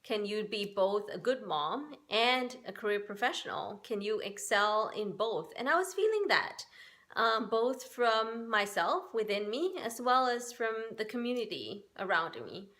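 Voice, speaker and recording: A woman's voice: mid-range voice, lively intonation, a little slow, a bit bright. A woman in her forties from Singapore, giving an earnest, upbeat personal talk that encourages other women. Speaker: spiritual workshop host. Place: Bay Area, United States.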